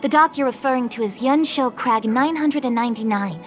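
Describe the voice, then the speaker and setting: A woman playing a polite, composed official in a video game, speaking in a formal, measured and helpful manner. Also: a little high, faint music behind, natural voice. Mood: informative, polite.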